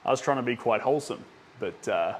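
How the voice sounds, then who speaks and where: Young kiwi guy painting on a livestream, rambly and chatty, lots of ums, mid-pitched voice. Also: expressive, a little fast, breathy edge. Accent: New Zealand. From New Zealand. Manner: chatty, rambling with many ums, reads out chat names. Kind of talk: casual livestream chat while painting.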